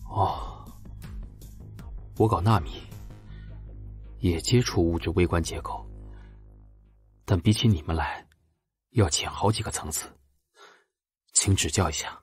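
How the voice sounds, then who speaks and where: A sober, troubled scientist in an audio drama. His voice is deep and very husky, and he speaks in an earnest, measured way over faint music. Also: full character voice. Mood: puzzled, earnest. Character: sober, thoughtful, troubled male nanomaterials scientist.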